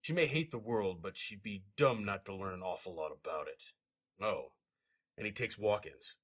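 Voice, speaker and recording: A man's voice: fairly low pitch, lively intonation, moderate pace, fairly bright tone. A man playing a short-tempered, foul-mouthed criminal father in an audio horror story, with a growling, contemptuous delivery. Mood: irritated, scornful.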